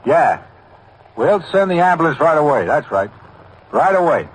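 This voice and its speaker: An actor playing a brisk, insistent police sergeant steadying an emergency caller in a 1950s radio drama. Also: male voice, fairly high pitch, sing-song, breathy edge. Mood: brisk, urgent. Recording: noisy old radio drama recording.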